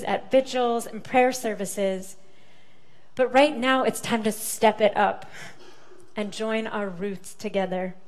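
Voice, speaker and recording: Young woman, sermon at a sanctuary lectern; unhurried, deliberate, slightly high voice. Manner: measured, deliberate delivery with weighty pauses. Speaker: preacher. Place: large sanctuary, indoors.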